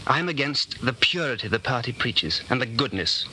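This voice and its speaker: A man's voice: medium pitch, lively intonation, moderate pace, pushed and bright. An actor playing an anxious, bitter man in his late thirties, a secret dissenter, with earnest intensity in 1940s radio style. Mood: bitter confession. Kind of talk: 1940s radio drama acting. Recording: faintly noisy old 1940s radio recording.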